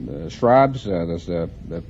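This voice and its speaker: Halting male contestant on noisy old radio. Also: low voice, expressive, darkish tone. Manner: halting, brief answers. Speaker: quiz show contestant. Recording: noisy muffled 1950s radio.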